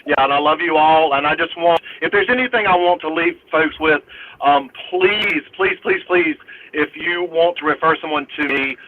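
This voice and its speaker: A man speaking as a panel guest, earnest and pleading, with hesitant pauses and fillers. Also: fairly high pitch. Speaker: panel guest, council member. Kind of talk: heartfelt closing remarks. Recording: dull lo-fi sound with faint hiss.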